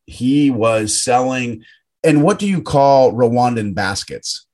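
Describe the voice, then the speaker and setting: A man's voice: medium pitch, animated, takes it slowly, darkish tone. A man hosting an interview podcast over a video call, talking casually and often restarting his sentences. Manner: rambling, self-correcting, friendly. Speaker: podcast host.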